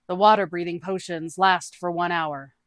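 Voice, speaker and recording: A narrator voicing a solemn elder priest with projected, formal, measured speech. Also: mid-range voice, fairly even intonation, fairly bright tone, no room sound, studio quality, close to an everyday voice. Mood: solemn, commanding. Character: solemn elder priest presiding over contest.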